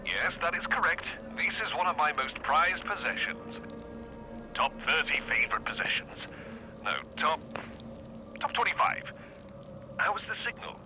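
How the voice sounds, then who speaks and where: A pompous tycoon of enormous wealth, smug and condescending to everyone beneath him. His pitch swoops theatrically through satirical radio-interview speeches, with music playing clearly behind him. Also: very animated, measured pace, long pauses, poor audio. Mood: haughty, boastful. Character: smug, pompous, callous ultra-rich tycoon man.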